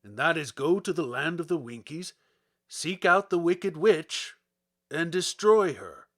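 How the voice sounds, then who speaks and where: A man's voice: a little high, lively intonation, a little slow, a bit bright. A man voicing a cowardly, blustering lion in a storybook reading, with emphatic, theatrical delivery. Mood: resolute. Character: cowardly lion, blustering, boastful yet afraid.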